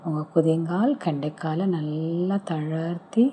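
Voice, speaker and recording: A woman speaks in a low, deeply mellow voice as she guides a relaxation meditation. She moves slowly through gentle, soothing instructions, leaving pauses between them. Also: fairly even intonation, slight background noise.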